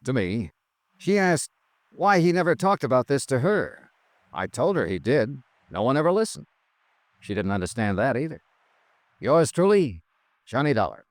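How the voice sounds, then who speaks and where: Radio detective, male actor: American, hard-boiled insurance investigator, mid-pitched, lively inflection, crisp and wry, 1950s style. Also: close to an everyday voice. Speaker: radio drama star and narrator.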